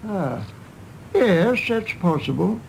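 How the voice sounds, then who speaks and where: A man playing a doddering, mildly curious old uncle in a radio drama, vague and halting. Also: high voice, big pitch swings, creaky, a little hiss or hum, full character voice. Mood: musing. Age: older. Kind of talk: British radio drama acting.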